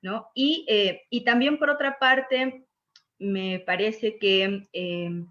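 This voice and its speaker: A woman's voice: medium pitch, extremely slow, a bit bright, dull audio. A woman speaking reflectively and hesitantly as a panelist, often adding tag questions to check for agreement. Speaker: panelist who promotes reading with young children.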